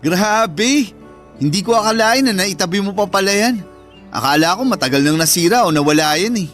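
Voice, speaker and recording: High-voiced, tender Filipino elder brother. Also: male voice, animated, moderate pace, fairly bright tone, soft background music. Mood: tender, moved. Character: caring elder brother Jasper, protective and forgiving young man. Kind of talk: Filipino radio drama acting.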